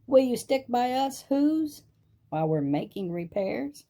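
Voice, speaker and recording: A man's voice: extremely high pitch, very animated, unhurried, gravelly, mellow, pitched above the performer's own voice, heavily acted. A man voicing a tiny storybook mayor who complains fretfully and pleads for help. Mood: fretful, pleading. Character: tiny, plaintive mayor of a speck-sized town.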